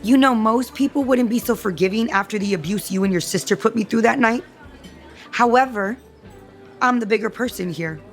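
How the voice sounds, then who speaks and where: An actress playing a self-assured, scheming woman who feigns loyalty, in an audio soap opera with a haughty, smooth delivery. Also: fairly high pitch, moderate pace, natural voice. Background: music under the dialogue.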